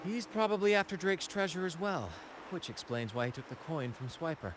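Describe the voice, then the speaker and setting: A man's voice: a little high, a little fast, noisy background, close to an everyday voice. A man voicing a video game's flamboyant young troupe leader, playful and gallant, with theatrical, flowery phrasing. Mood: reflective storytelling. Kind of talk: video game voice acting. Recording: game music behind the voice.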